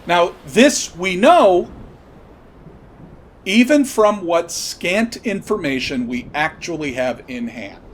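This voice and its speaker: Male commentator, higher-pitched voice, opinionated and emphatic solo delivery, with faint rumbling noise on the recording. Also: expressive, takes it slowly. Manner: emphatic, repeats and spells out points. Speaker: podcast host commentator.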